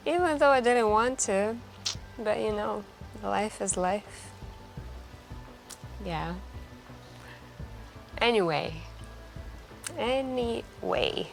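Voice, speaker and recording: A woman acting as a wistful young woman in an intimate ASMR café roleplay. She speaks softly and slowly at a medium pitch, musing and confiding with hesitant pauses. Quiet music plays behind her voice. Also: gravelly. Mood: gently curious. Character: wistful, soft-spoken young woman stranded at a late-night café. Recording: continuous quiet background music. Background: quiet background music.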